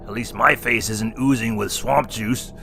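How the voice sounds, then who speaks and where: A man's voice: fairly low pitch, steady tone, unhurried, creaky, bright, forceful tone, faint music behind, heavily acted. An actor playing a wisecracking male clown who co-hosts a scripted horror review. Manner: punny, teasing. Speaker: co-host. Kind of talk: scripted comedic review banter.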